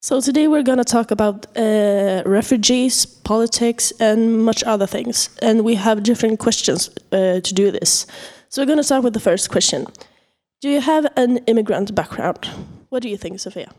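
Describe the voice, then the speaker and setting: A woman's voice: fairly high pitch, normal speed, mellow. Teen woman hosting a student podcast. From Sweden. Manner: friendly, leads the questions. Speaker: podcast host. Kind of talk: casual student podcast discussion.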